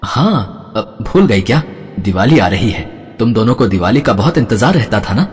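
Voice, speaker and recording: A man's voice: on the low side, very animated, normal speed. A man voicing a caring father in a cartoon story who coaxes and reassures his daughters. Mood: gentle. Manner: coaxing, reassuring. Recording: reverberant with soft background music.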